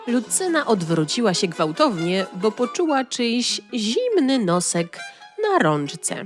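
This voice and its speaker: A woman narrating a children's audio story in an expressive, animated way. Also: on the high side, slow pace, faint music behind.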